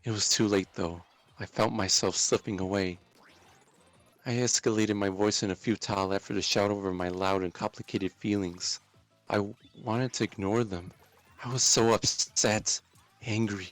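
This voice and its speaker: A man narrating a dramatic first-person story as a storyteller, in a deliberate, intense and emotive way. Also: on the low side, fairly even intonation, soft background music.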